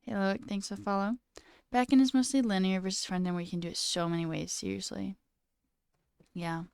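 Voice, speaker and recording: Young woman, slight sore throat, smooth clear voice, dry close sound, casual live coding chat. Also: medium pitch, fairly even intonation, fairly quick, darkish tone, dry recording. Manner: reads chat aloud, mutters and swears at buggy code. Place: small room indoors.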